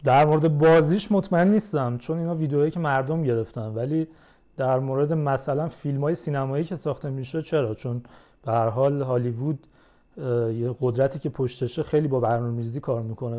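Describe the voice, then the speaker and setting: A young man in casual conversation as a podcast guest, explaining things in a measured way. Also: medium pitch, dark tone.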